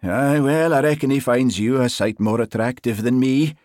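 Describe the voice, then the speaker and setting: A man voicing an affable, folksy police sergeant with a Scottish Highland accent, in an audiobook. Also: moderate pace, darkish tone, no echo, clean studio sound, natural voice. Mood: cheerful. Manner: informal, folksy. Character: lanky Highland police sergeant, affable, easily flustered, sly.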